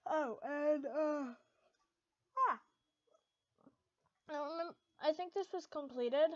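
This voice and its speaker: A woman hosting a fan fiction reading, reading aloud casually with chatty asides and stumbling over words in an informal, halting way. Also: high-pitched, dry recording, lo-fi sound. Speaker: fan fiction reader, channel host.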